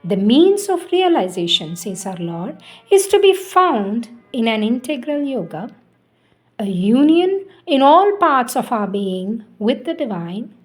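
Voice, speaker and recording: A woman giving a solemn devotional reading of spiritual teachings, deliberate and emphatic, pausing between phrases. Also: on the high side, big pitch swings.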